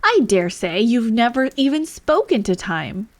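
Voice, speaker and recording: A woman's voice: on the high side, very animated, unhurried, dry recording, pitched above the performer's own voice. A woman voicing an eccentric male hat-maker, haughty and mournful, in a storybook reading. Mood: contemptuous.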